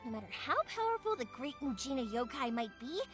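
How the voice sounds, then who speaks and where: A woman's voice: high voice, brisk, faint music behind. A woman playing a cheerful, encouraging young fireworks maker coaxing children in an anime video game. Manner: animated, coaxing, as if talking to children.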